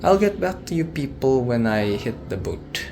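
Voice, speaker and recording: A young man from the Philippines vlogging to camera early in the morning, sleepy and keeping his voice low and quiet. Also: very animated, moderate pace, gravelly, music in the background. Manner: quiet, held-back talk early in the morning. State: sleepy, voice deeper than usual. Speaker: travel vlogger. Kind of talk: casual vlog to camera.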